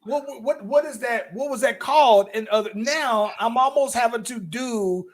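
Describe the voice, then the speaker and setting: A man's voice: very high-pitched, moderate pace. A man co-hosting a podcast in casual chat, who rambles with many restarts and repeated words. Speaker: podcast co-host.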